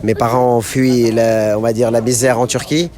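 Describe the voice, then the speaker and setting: A man born and raised in France, a charity member speaking hesitantly with many pauses and fillers in an on-site interview. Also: medium pitch, level tone, darkish tone. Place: outdoors at a Quranic school.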